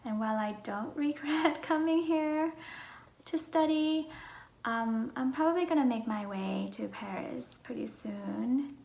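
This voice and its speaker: A young adult woman from Vietnam with a Vietnamese accent, talking casually and softly with a breathy voice as she answers personal questions for her viewers. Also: high-pitched, a little slow. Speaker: vlogger. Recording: roomy echo, faint background noise.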